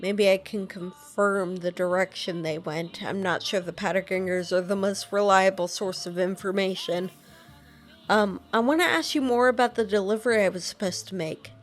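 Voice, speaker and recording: A woman's voice: mid-range voice, moderate pace, soft background music. A young woman voicing her game character, asking questions hesitantly with fillers. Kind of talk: casual game voice-acting.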